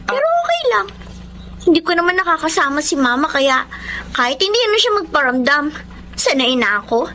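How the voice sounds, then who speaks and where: A woman's voice: high-pitched, big pitch swings, brisk, bright, forceful tone, slight background noise, full character voice. A woman playing a polite young teenage girl in a radio drama, dutiful and putting a brave face on hurt. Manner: polite, childlike, plain-spoken. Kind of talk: Tagalog radio drama acting.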